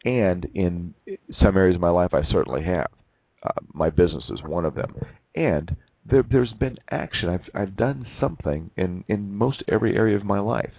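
A man in his forties, hosting a reflective personal monologue, who talks haltingly with many restarts and repeated words. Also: low voice, dry recording. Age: 40s. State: losing his voice from overwork. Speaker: podcast host. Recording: narrow phone-like sound.

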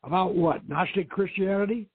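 A man's voice: high voice, moderate pace, breathy edge, voice pushed up. A man voicing a wry, pedantic scholar-adventurer in a dramatic novel reading. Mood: teasing. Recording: phone-like thin line.

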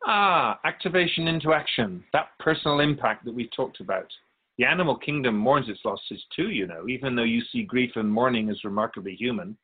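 Male actor as soul-keeping spirit, radio drama, husky, measured, instructive, mid pitch, tinny narrow band. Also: lively intonation, close to an everyday voice. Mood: gently probing. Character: Keeper of Souls, wise otherworldly spirit speaking of humanity. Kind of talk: radio drama dialogue in interview form.

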